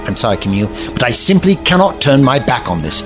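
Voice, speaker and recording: A man's voice: mid-range voice, big pitch swings, fast talker, over music, natural voice. A man playing an eccentric, haughty alien scientist in an audio drama, with pompous, precise formal phrasing. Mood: grave, thoughtful.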